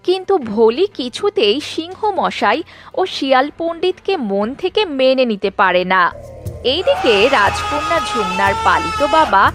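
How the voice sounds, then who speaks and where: A woman's voice: high voice, a bit bright. A woman narrating a scripted children's story.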